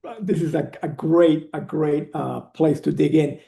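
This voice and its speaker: A man telling his life story as a podcast guest, in an earnest, measured way. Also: fairly high pitch, fairly even intonation, gravelly, mellow, echoey room. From Venezuela. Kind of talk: conversational interview storytelling.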